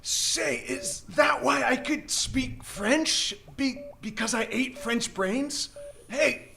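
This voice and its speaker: A man's voice: very high-pitched, slow pace, vocal fry, bright, forceful tone, slight background noise, full character voice. A man playing a bewildered, exasperated captive husband in a live comedic radio drama, blurting incredulous reactions. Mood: puzzled, indignant. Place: theater stage before an audience.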